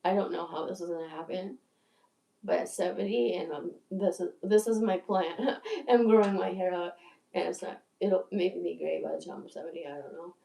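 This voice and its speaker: Give me a low, dark-toned woman of sixty rambling and swearing freely, in a roomy, echoing space. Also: steady tone, moderate pace, vocal fry. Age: 60s. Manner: stammering restarts, profane, candid. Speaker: video creator. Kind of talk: rambling livestream monologue. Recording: echoey room, distant from mic.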